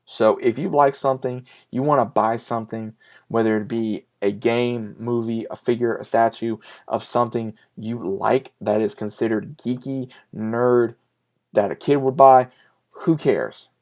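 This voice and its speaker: Male vlogger, late 20s, medium pitch. Unscripted, conversational delivery, sincere and emphatic, with repetition and frequent pauses. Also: steady tone, a little mellow. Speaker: YouTube vlogger and collector. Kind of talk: casual, unscripted chat to viewers.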